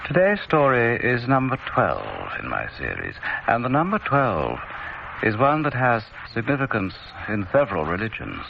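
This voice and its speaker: An actor playing a sinister male horror anthology host, narrating theatrically with a deep, gravelly voice. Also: wildly swooping pitch, moderate pace, background noise. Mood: ominous. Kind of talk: 1970s-style radio drama narration. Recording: muffled old radio broadcast. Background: loud eerie music bed.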